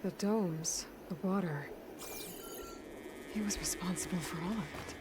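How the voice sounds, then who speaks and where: A woman voicing a determined young hunter in a video game, muttering to herself in a hushed voice. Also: on the low side, measured pace, very noisy, lightly acted. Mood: pensive.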